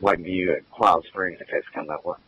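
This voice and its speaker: A man's voice: low voice, steady tone, a little fast, sharp tone, slightly rough. A man on police radio giving terse operational messages. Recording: dull, slightly noisy scanner radio.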